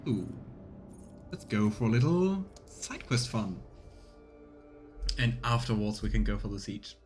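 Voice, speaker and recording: A man's voice: lowish voice, sing-song, a little slow, darkish tone. A man doing casual let's play commentary, chatting as he thinks aloud and reads game text. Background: faint game music.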